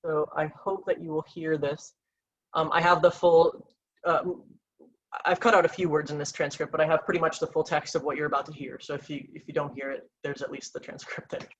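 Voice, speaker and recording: A measured academic voice, mid-pitched and unhurried, reads a case study aloud to an audience. The delivery is careful and scripted, with the odd "um" and small restarts as the speaker moves through the slides. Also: level tone, fairly bright tone, highs cut off. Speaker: researcher presenting a case study.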